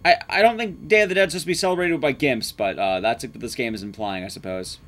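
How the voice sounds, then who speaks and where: A man's voice: medium pitch, fast talker, fairly bright tone, slight background noise. A young man giving casual, sweary, sarcastic live commentary as he speedruns a game. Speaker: speedrun streamer. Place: indoor room at home, streaming setup.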